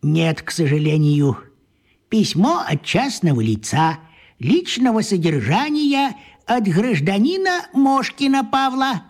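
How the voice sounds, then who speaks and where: An actor playing a kindly old wizard who poses as a postman, talking in a breathy, musing voice with swooping pitch. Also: sing-song, takes it slowly, full character voice. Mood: polite. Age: older. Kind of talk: radio play acting.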